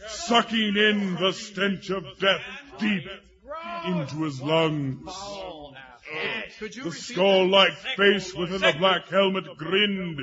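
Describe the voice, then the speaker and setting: A man narrating a mock-epic fantasy tale in a grandiose, theatrical way, swelling and falling in force. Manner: grandiose, theatrical, swelling to shouts and dropping low. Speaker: storyteller. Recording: dull sound with crowd noise behind.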